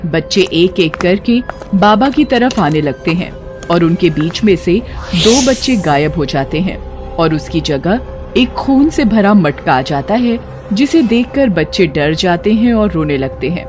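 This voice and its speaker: A woman's voice: lowish voice, lively intonation, measured pace, over music. A woman delivering scripted narration for a horror story.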